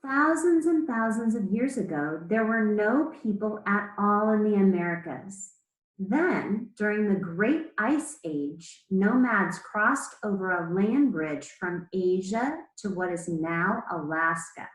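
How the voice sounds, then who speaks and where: A woman speaking as an elementary school teacher, reading aloud to children in a measured, explanatory way with pauses between phrases. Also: mid-range voice, expressive, reverberant, low-quality recording. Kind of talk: read-aloud lesson to children.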